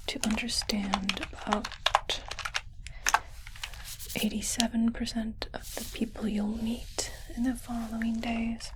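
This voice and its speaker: A woman playing a calm, clinical but kindly alien medic in a whispered ASMR roleplay, hesitant and softly reassuring. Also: on the high side, poor audio. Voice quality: breathy unvoiced whisper.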